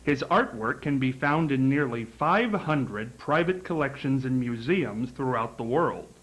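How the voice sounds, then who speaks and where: A man from Ohio hosting his own talk show, introducing a guest and asking formal, measured questions. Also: mid-range voice, expressive, fairly bright tone, poor audio. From Ohio, USA. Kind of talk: interviewing a guest.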